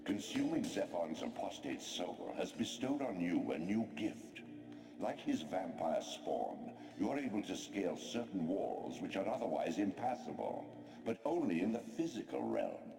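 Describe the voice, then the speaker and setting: Booming deep ancient spirit, echoing. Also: male voice, very animated, faint music behind, heavily acted. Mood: solemn, commanding. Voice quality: very deep, gravelly, dark. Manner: grave, oratorical, deliberate. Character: ancient godlike spirit, male, solemn, commanding mentor. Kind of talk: scripted video game exposition. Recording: large echoing hall, slightly distant, faint noise.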